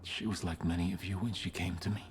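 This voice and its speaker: Low-voiced male cult leader, hushed and breathy, solemn menacing preaching, video game drama, noisy ambience behind. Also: fairly even intonation, fast talker. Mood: solemn, mournful. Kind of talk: slow solemn sermon-like monologue.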